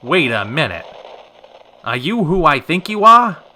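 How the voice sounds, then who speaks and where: Male actor as 1940s radio host, very bright forceful voice, animated, theatrical, flamboyant showman. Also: on the high side, a little slow, a little hiss or hum, heavily acted. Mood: breezy, curious. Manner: theatrical, polished announcer delivery.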